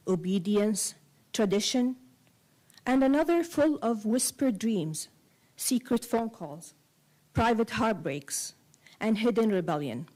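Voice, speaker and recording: A woman novelist who grew up between Kuwait and Saudi Arabia, a native Arabic speaker, reading a prepared essay aloud in measured, deliberate phrases. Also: fairly high pitch, a little mellow, low-quality recording. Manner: measured, deliberate phrasing in short cadenced clauses.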